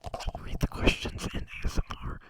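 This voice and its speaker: Whispering man streamer. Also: normal speed. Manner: whispering in a hushed telemarketer voice. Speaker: streamer hosting a guest. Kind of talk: casual stream chat. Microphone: gaming headset mic.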